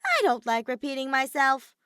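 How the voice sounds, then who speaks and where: A woman's voice: high voice, wildly swooping pitch, moderate pace, pushed and bright, dry recording, clean studio sound, pitched above the performer's own voice, exaggerated voice. A woman voicing a mocking, evasive robot bear villain in a fan fiction audiobook, taunting and dismissive. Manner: taunting, sing-song, dismissive.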